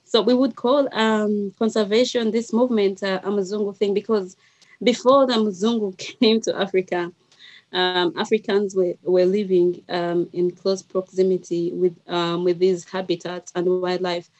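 A woman's voice: medium pitch, steady tone, normal speed, a little mellow, highs cut off. A woman in her thirties with a Ugandan accent, speaking as a panelist on a video call, choosing words hesitantly and thoughtfully. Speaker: guest panelist, wildlife researcher. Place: small indoor room. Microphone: headset on a video call.

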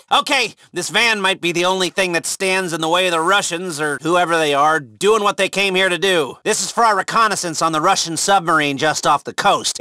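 An actor playing a chatty, sardonic agent handler who gives game mission orders with wry, hesitant asides. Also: male voice, high voice, pushed and bright, no room sound, studio quality. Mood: wry, businesslike. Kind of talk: conversational video game mission dialogue.

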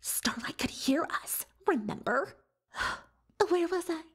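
A woman's voice: extremely high pitch, lively intonation, takes it slowly, full character voice. A woman playing a boastful, self-dramatising unicorn pony magician in an ASMR roleplay, telling her tale with haughty theatrical airs and confiding asides. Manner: haughty, theatrical, third-person self-reference, conspiratorial asides. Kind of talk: ASMR roleplay monologue, storytelling.

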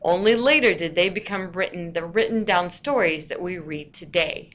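A woman narrating a scripted lesson as a teacher, with deliberate, storyteller-like emphasis. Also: lowish voice, fairly bright tone.

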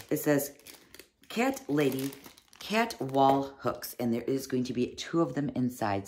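A woman's voice: extremely low pitch, a little hiss or hum. A middle-aged woman casually unboxing and reviewing products, chatty and fond of saying "little" and "like", seated at a desk in a small cozy room. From United States. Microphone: fixed camera, seated at desk.

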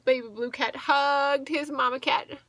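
A woman in her thirties reading a storybook aloud to children as a teacher, expressive and asking the listeners questions. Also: high voice, measured pace. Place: small indoor room with bookshelves.

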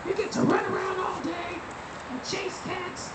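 Eager puppet dog, very high and playful, children's show, noisy outdoor recording. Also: male voice, unhurried, reverberant, recorded from a distance. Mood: excited, friendly.

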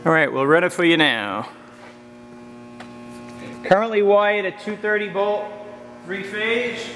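A man's voice: sits high, unhurried, a bit bright, big-room sound, soft background music. A man giving a plain, matter-of-fact demo of a machine in a large indoor machine shop, recorded on a handheld camera. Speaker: machinery dealer. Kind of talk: casual product demo.

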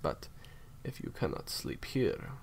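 A man's voice: a little slow, slight background noise, lightly acted. A man playing a menacing, cold villain in an audio roleplay, speaking in formal, theatrically polite threats. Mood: quietly threatening. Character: menacing, courteous male villain, cold captor. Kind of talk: roleplay audio drama monologue.

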